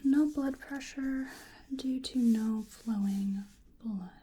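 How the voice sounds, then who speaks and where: A woman acting a slow, breathy, whispering researcher. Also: on the high side. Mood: musing, methodical. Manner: gentle, polite, unhurried, musing to herself. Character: bookish, polite female cryptid researcher examining a creature. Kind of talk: soft-spoken ASMR roleplay. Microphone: close microphone.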